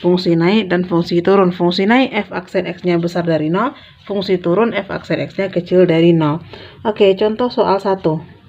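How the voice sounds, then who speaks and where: A woman's voice: on the low side, normal speed, darkish tone. Woman tutor, dull lo-fi recording. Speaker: math tutor. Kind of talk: informal step-by-step lesson explanation. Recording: dull, lo-fi sound.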